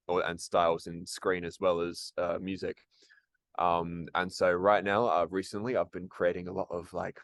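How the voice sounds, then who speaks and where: A man's voice: low-pitched, smooth, no room sound, clean studio sound. A man answering interview questions about acting and music, casual and hesitant, with many ums and restarts. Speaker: actor and musician, interview guest.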